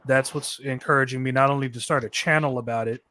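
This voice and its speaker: A man's voice: mid-range voice, fairly even intonation, quick pace, sharp tone. A man talking casually about making beats, rambling, with lots of restarts and fillers. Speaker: beat maker. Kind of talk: casual conversation.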